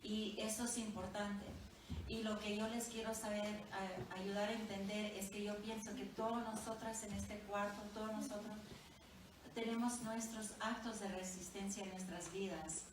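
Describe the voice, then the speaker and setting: A woman's voice: mid-range voice, fairly even intonation, moderate pace, background noise. Hesitant woman, echoey distant room. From Honduras, raised in the United States. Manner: hesitant, searching for words with fillers. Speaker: family therapist and researcher. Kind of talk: book presentation remarks.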